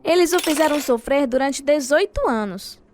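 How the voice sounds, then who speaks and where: Casting: devout, long-suffering wife, high-pitched voice, Brazilian accent, radio drama. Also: female voice, lively intonation, moderate pace, darkish tone, slight background noise. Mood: relieved, tender.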